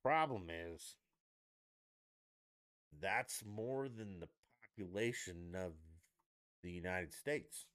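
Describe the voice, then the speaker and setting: A man's voice: slow pace, long pauses, fairly bright tone, smooth. A man hosting a solo podcast, ranting sarcastically with lots of swearing and mocking asides. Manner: mimics others in high mocking voices. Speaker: podcast host. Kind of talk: solo opinion rant.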